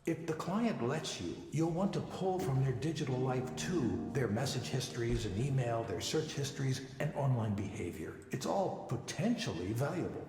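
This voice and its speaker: Guy playing confident psychologist guy giving a talk, measured n teachy, echoey hall, faint music behind. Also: medium pitch, expressive, darkish tone, close to an everyday voice. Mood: measured, instructive. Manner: measured, didactic. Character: confident male psychologist, former tech lead, lecturing an audience. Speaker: conference speaker. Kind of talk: scripted conference talk in a visual novel.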